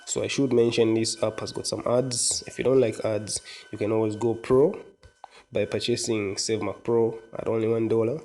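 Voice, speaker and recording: A man with a fairly low voice presents a casual app walkthrough. He explains each step informally, like a presenter guiding viewers through a screen. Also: steady tone, moderate pace, warm tone. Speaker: tutorial presenter. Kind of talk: casual software walkthrough narration.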